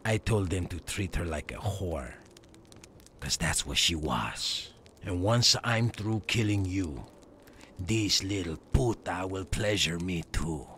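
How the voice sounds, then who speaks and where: An actor playing a sadistic, gloating outlaw villain in a Western video game, taunting with menace and Spanish words sprinkled in. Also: male voice, low voice, expressive, slow, a bit bright, a little hiss or hum, full character voice. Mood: cruel, menacing.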